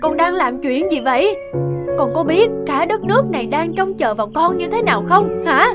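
A woman's voice: very high voice, expressive, moderate pace, loud music behind the voice. A woman voicing an overprotective middle-aged mother in a cartoon, anxious, insistent and domineering. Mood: shocked, scolding. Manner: fretful, insistent.

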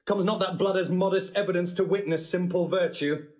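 An actor playing a proud, bitterly wronged young bridegroom, declaiming in classical Shakespearean drama style. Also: male voice, high-pitched, fairly even intonation, brisk, a little mellow, lightly acted. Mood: anguished fury.

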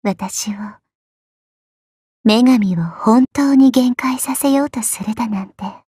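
A woman playing a petite, elegant, coy and haughty goddess in a mobile game, speaking softly with refined, condescending politeness. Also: on the high side, takes it slowly, no echo, clean studio sound, full character voice. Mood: haughty, alluring. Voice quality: soft, breathy. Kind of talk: Japanese mobile game character voice lines.

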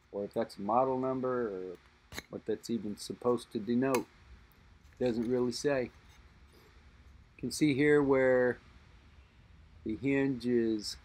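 Slow, musing man describing a lighter. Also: medium pitch, darkish tone, slight background noise. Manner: slow, musing, thinks aloud with pauses. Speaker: lighter collector and reviewer. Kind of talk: unscripted show-and-tell narration.

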